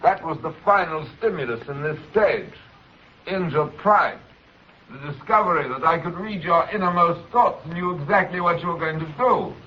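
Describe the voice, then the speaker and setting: A man playing a coolly taunting middle-aged kidnapper in a 1940s radio drama, with smug, formal, deliberate delivery. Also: a little high, bright, forceful tone. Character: tall sinister fifty-year-old kidnapper, coolly taunting experimenter. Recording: muffled vintage 1940s radio recording.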